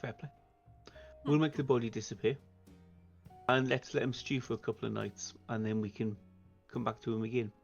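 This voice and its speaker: A man's voice: mid-range voice, flat delivery, brisk, gravelly, faint music behind. A man talking casually as a player in a tabletop roleplaying game, planning the group's next move. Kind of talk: casual planning talk, partly in character. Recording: slightly dull, band-limited sound.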